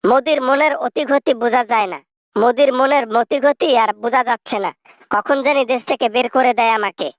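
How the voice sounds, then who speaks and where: A woman's voice: a little high, a little fast, no echo, lightly acted. A woman playing a coaxing, shameless elderly mother, a corrupt exiled politician, in a satirical phone-call skit. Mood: smugly boastful.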